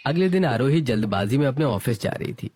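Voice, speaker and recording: A man's voice: mid-range voice, lively intonation, a little fast, a little mellow. A man narrating a scripted family story.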